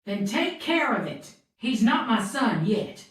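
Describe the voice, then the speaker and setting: A woman playing a cold, scheming conspirator in a video game, speaking in a deliberate, threatening and accusatory way. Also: mid-range voice, very animated. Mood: menacing. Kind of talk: video game dramatic dialogue. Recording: distant, heavily echoing voice.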